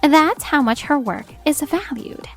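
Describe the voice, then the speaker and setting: A woman playing a composed, steely attorney in a manga dub, speaking formally and with measured assertiveness. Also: sits high, animated, faint music behind. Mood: coolly cutting. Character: composed, steely female attorney confronting a husband. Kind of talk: acted manga dub dialogue.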